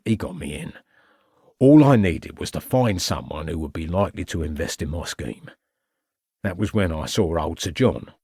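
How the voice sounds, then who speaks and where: A man's voice: sits low, breathy edge, no room sound, studio quality, full character voice. A man voicing a cornered male con man who drops a fake French accent for a Cockney one, pleading and sly. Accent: fake French, then Cockney. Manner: pleading, rising to desperate shouts.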